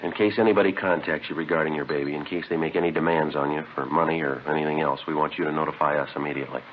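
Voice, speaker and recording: A man playing a terse, methodical police detective sergeant in a vintage radio drama, deadpan and clipped. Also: rumbling low, rapid-fire, slight background noise. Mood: businesslike. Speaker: lead actor and narrator in a radio police drama. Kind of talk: 1950s radio drama acting, terse first-person narration. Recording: muffled vintage radio recording.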